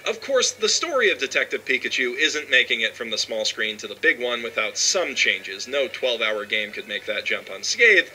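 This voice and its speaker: A man's voice: mid-range voice, sing-song, normal speed, few pauses, sharp tone, slight background noise, low-quality recording. A man delivering a scripted video essay with an emphatic, opinionated manner.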